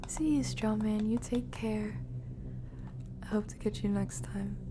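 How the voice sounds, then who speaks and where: A woman's voice: mid-range voice, fairly even intonation, unhurried, background noise. A woman streaming a game, chatting casually in a thoughtful, meandering way. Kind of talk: casual livestream chat while playing.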